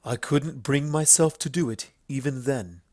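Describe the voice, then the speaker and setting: Guy reading as a solemn pony princess, formal and measured, burdened with guilt, storybook style, really dry sound. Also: mid-range voice, a little mellow, no room sound, natural voice. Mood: grave, intense. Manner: formal, measured, grave speech. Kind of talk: fan fiction storybook reading.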